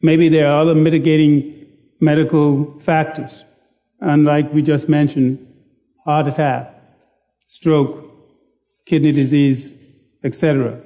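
Male preacher, slightly high voice, takes his time with every phrase. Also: level tone, mellow. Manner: slow, deliberate, self-deprecating delivery. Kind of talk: sermon. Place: church sanctuary.

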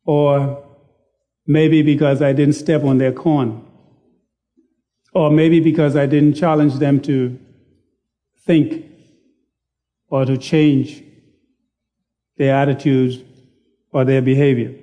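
Male preacher, warm and dark in tone, deliberate and self-deprecating. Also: mid-range voice, fairly even intonation. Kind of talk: sermon. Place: church sanctuary.

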